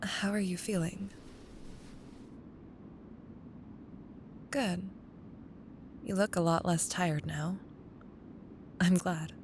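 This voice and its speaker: Woman acting as caring young female best friend, lowish voice, slow and tender, teasing, wistful, ASMR roleplay, rumbly background noise. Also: fairly even intonation.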